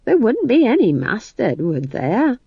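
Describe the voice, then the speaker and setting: Woman voicing a brash, high-pitched old witch. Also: wildly swooping pitch, measured pace, creaky, slightly rough, dry recording, muffled recording, exaggerated voice. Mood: chatty, bossy. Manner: folksy, blunt, chatty. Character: brash, bossy, cheerful old witch posing as humble wood-gatherer.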